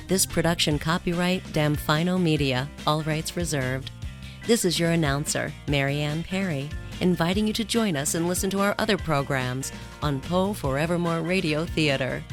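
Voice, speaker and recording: A woman announcer reading scripted closing credits for a radio theater program. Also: low voice.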